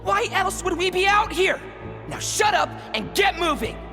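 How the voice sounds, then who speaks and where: A woman's voice: extremely high pitch, lively intonation, normal speed, fairly bright tone, heavily acted. A woman voicing a bossy, impatient female crook in a video game, snappy and scolding, with music behind her.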